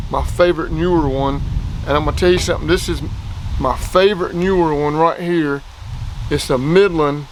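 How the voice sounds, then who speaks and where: A man's voice: fairly high pitch, slight background noise, low-quality recording. A man with a plain-spoken, folksy way of talking, giving a casual hands-on gear review outdoors. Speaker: product reviewer.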